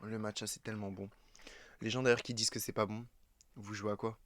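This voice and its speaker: A man's voice: on the low side, flat delivery, fairly quick, fairly bright tone, a little hiss or hum. A French teenage boy in his late teens, chatting casually to camera with many fillers and self-corrections. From France. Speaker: YouTuber.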